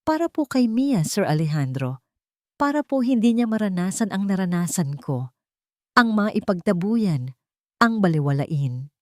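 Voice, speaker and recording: Woman plays shy young Filipina mother and housemaid, respectful, quietly strong, dry audio-drama narration. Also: mid-range voice, very animated, unhurried, no room sound. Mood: wounded, earnest.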